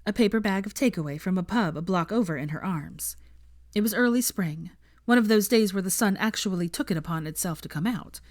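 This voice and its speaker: A woman narrating fan fiction in a measured, even-paced reading. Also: on the low side, no room sound, clean studio sound. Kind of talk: story narration.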